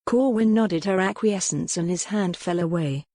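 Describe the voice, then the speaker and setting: Low-voiced woman narrating a novel. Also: normal speed, warm tone, dry recording, studio quality. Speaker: audiobook narrator. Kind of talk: scripted dramatic narration of a historical novel.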